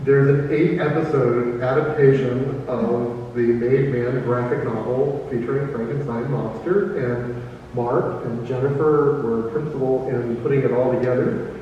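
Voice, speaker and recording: A man's voice: medium pitch, fairly even intonation, a little slow. Casting: male panel presenter, informal talk, heard dull and far off in a reverberant hall. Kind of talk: casual convention panel presentation. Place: convention panel room. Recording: echoey hall, distant, dull, noisy.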